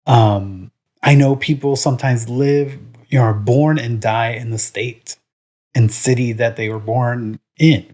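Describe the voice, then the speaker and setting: A man's voice: medium pitch, fairly even intonation, unhurried, a little mellow. Rambling, frank male podcast co-host. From Puerto Rico. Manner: rambling, full of "um" and "like"; frank and opinionated. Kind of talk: casual podcast chat.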